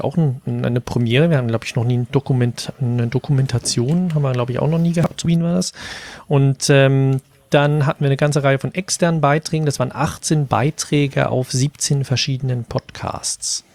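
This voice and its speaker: A man's voice: mid-range voice, fairly even intonation, moderate pace, a little mellow, no room sound. A man casually moderating a podcast conversation as its host.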